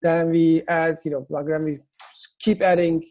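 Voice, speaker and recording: Turkish-accented man, mellow voice. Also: a little high, level tone, highs cut off. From Turkey. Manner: halting, many fillers and restarts. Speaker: agency founder, guest. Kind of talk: interview answers.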